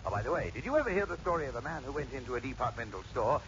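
An actor playing a jaunty, chattering local radio disc jockey in a 1960s radio drama. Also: male voice, mid-range voice, sing-song, racing, a bit bright. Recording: noisy, muffled broadcast from a radio set.

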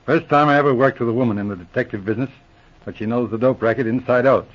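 An actor playing a calm, methodical senior police inspector in 1940s radio drama, explaining things with measured authority. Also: male voice, mid-range voice, lightly acted. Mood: methodical, confiding. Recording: dull, muffled old radio recording.

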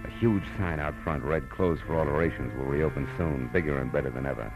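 Very deep male voice, hard-boiled private eye, wry, clipped, American, old radio drama, music behind. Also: a little fast, poor audio. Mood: wry, tense narration. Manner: clipped, wry, tough-guy delivery. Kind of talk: 1940s radio detective acting, first-person narration.

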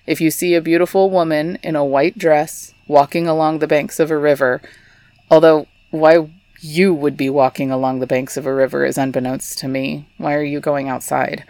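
A woman's voice: low-pitched, fairly even intonation, moderate pace, darkish tone, no echo. A young adult woman from Texas, hosting a scripted storytelling podcast with chatty, wry asides. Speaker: podcast host.

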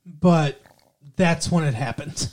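A man's voice: on the high side, slow, a little mellow. Man rambling through a movie plot. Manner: rambling, restarts often, explains plot. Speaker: podcast co-host. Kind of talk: casual movie review chat.

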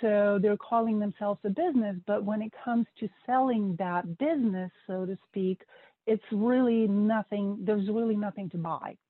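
A woman who learned English as an adult, a guest answering interview questions in a relaxed way, laughing easily and pausing to think. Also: mid-range voice, slightly rough. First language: not English. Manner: relaxed, laughing, thoughtful pauses. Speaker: podcast guest, investor. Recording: dry thin phone-like audio.

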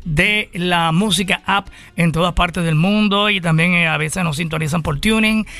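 An energetic Puerto Rican man hosting a radio interview show with enthusiasm. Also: high-pitched, normal speed, vocal fry. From Puerto Rico. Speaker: radio host.

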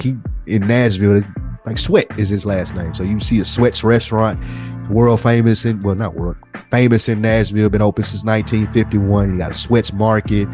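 A man's voice: on the low side, darkish tone. A man co-hosting a podcast in casual, slangy conversation, telling stories and quoting people as he goes. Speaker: podcast co-host.

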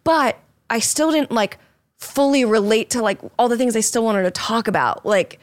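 A woman's voice: on the high side, expressive, normal speed, dry recording, clean studio sound. A young woman chatting casually on a podcast, rambling with lots of "like" and frequent laughter. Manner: rambling, heavy use of "like", laughs often. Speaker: podcast host, dancer. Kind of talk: casual chat.

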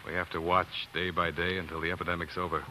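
A man's voice: deep voice, level tone, fast, pushed and bright, a little hiss or hum, poor audio. An American man acting in a 1940s radio drama as an earnest, grief-stricken doctor telling his own tragic story, on a vintage broadcast recording. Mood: earnest, weary.

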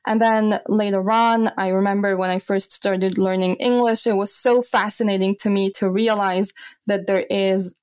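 A woman's voice: mid-range voice, steady tone, normal speed, a little mellow, no room sound. A young woman talks as an interview guest. She is thoughtful and reflective and drops in "you know" as she goes. Her voice sits in a middle register and sounds dry and close to the mic. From Austria. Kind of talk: conversational interview.

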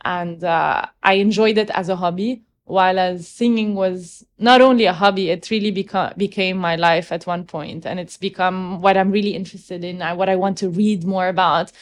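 A woman's voice: lowish voice, steady tone, moderate pace, hardly any pauses. A young woman from Egypt, a guest in an interview, speaking thoughtfully and often restarting her sentences. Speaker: guest, opera singer. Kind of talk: casual interview conversation.

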